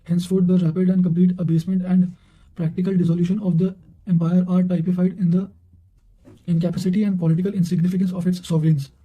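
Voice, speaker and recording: A high-voiced man reads study material aloud in a very soft, dark tone. His delivery is fairly flat and halting, with frequent stumbles and repeated words. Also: low-quality recording. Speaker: narrator reading revision notes. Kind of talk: reading quotations aloud for study revision.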